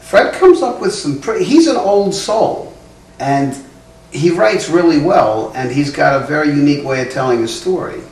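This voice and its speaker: A man's voice: on the high side, animated, moderate pace, darkish tone. A man talking casually in choppy phrases in an informal interview. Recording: roomy, distant mic, faint hum.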